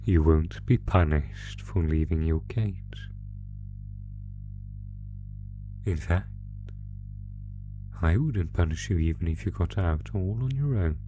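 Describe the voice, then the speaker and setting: Male actor as gloating monster, deep voice, very slow delivery, mock-polite, cruel and playful, horror roleplay. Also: music in the background. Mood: smug, taunting. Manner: slow, taunting, mock-polite, savoring pauses. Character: sadistic male monster gleefully toying with a captive. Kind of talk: horror roleplay audio drama, ASMR. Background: musical score.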